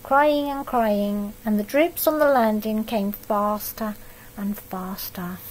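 A middle-aged woman reading a picture book aloud to young children, expressive and adding asides about the pictures. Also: medium pitch, slight background noise, low-quality recording. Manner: expressive storytelling that pauses to comment on the pictures. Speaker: storybook reader for young children. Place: living room at home, indoors.

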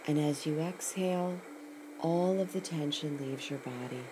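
A woman with a notably low voice leads a scripted guided meditation. She speaks very slowly and soothingly, setting each short phrase apart with a pause. Clear ambient noise runs through the recording. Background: ocean sounds.

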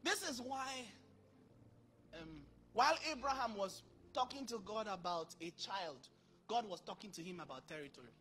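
A man in his thirties preaching a sermon into a handheld mic on a large church stage, acting out dialogue with sudden bursts of loudness. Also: high-pitched, moderate pace, slight background noise. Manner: animated, acts out dialogue, bursts of volume. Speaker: pastor.

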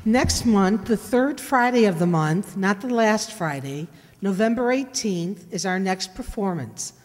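A woman's voice: medium pitch, animated, measured pace, darkish tone, soft background music. An older woman reading a scripted announcement to an audience from a stage. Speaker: director announcing next show. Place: large hall stage, indoors. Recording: reverberant hall.

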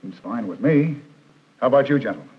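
A senior army general in a vintage military film. His voice is husky and mid-pitched, his phrases brisk and agreeable. The old soundtrack sounds muffled. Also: lively intonation. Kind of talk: 1960s training film acting. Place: meeting room, indoors.

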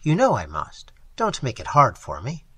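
A man's voice: mid-range voice, huge pitch swings, moderate pace, fairly bright tone, slightly rough, heavily acted. A man voicing a carefree young man in an audiobook, speaking in a breezy, offhand, reassuring way. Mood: firm, reassuring. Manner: breezy, offhand, forced unconcern. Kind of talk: literary audiobook character dialogue.

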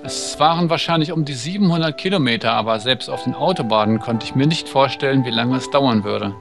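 A man's voice: mid-range voice, brisk, gravelly, lightly acted. A man reading the part of an awkward young driver who narrates a visual novel, over music. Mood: pensive. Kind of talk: visual novel read aloud.

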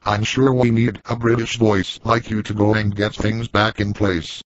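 A man's voice: fairly low pitch, little pitch movement, moderate pace, no room sound, lightly acted. A text-to-speech voice as a stiff robot hero man. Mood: formal. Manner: stiff, formal machine reading. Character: male robot voice hero, nervous and formal. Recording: dry lo-fi synthesized audio.

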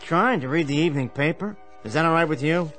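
A man's voice: fairly high pitch, quick pace, a bit bright, lightly acted. A man playing an irritable, suspicious, bullying husband and father in an American radio drama, sarcastic and accusing. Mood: sullen sarcasm. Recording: music underneath.

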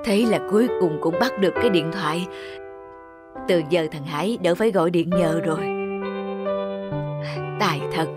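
A woman voicing a worried, self-sacrificing elderly village mother in a cartoon, gentle and plaintive in manner. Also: on the low side, moderate pace, pauses often, loud music behind the voice, natural voice. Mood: resigned, gentle. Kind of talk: animated moral tale voice acting.

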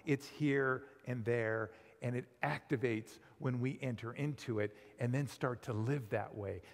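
A middle-aged man preaching a sermon in a church sanctuary, conversational and animated, often asking his listeners questions. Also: mid-range voice. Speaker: pastor. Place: large church sanctuary with pipe organ. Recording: long hall reverb.

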